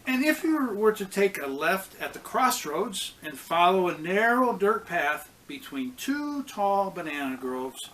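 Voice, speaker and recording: A man, a pastor, reading a children's story aloud in a deliberate, expressive way with pauses. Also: high voice, poor audio.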